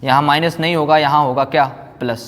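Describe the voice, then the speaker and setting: A man's voice: a little high, fairly even intonation, brisk, a bit bright. A man teaching physics in a didactic way, often asking questions and answering them himself. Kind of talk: classroom lecture, explaining formulas. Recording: echoey hall-like reverb.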